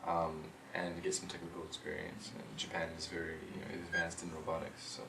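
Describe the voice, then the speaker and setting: Young guy with a low flat voice, chill and full of ums, recorded far off in an echoey room with noise behind. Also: a little fast. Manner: relaxed, thinking aloud with many fillers. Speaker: interviewee, rover systems engineer. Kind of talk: casual interview answers. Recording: roomy, distant mic, clear background noise.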